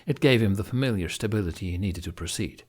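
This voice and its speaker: Man, low pitch, audiobook narrator, dramatic scripted storytelling. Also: fast, a little mellow.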